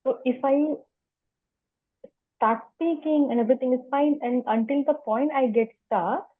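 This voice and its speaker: Slow, stammering woman on a tinny call. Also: high-pitched, a little hiss or hum. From India. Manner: stammers, with repeated words and sounds. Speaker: interview guest. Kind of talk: conversational interview.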